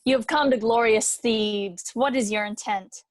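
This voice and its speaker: A woman's voice: fairly high pitch, a little slow, lightly acted. A woman playing a devout Theban chorus member, praying formally and solemnly in a Greek tragedy reading. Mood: reverent.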